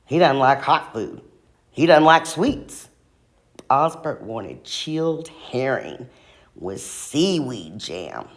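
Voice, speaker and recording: A woman reading a children's storybook aloud in an expressive way, slowing down for emphasis. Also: fairly low pitch. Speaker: school staff reader.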